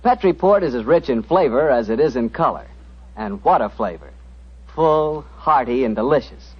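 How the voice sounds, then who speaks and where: Male American announcer, swooping emphatic salesman delivery, rough-edged vintage radio sound. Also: medium pitch, animated, moderate pace. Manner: polished, emphatic, friendly salesman patter. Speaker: announcer and sponsor spokesman. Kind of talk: 1940s radio announcing, scripted sales pitch. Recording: dull, rough vintage 1940s radio broadcast.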